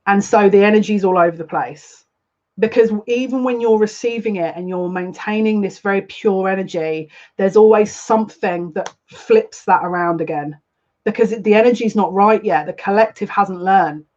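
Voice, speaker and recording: A woman's voice: mid-range voice, moderate pace, darkish tone, lo-fi sound. A woman hosting a live spiritual reading, speaking earnestly and confidingly, often repeating her phrases. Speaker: psychic livestream host.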